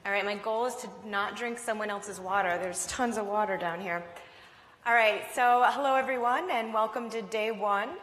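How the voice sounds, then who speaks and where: A woman's voice: mid-range voice, moderate pace, fairly bright tone, slight background noise. A woman from Vermont giving a conference presentation, telling a story in a conversational, upbeat way. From Vermont, USA. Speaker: conference speaker. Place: conference hall. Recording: long hall reverb.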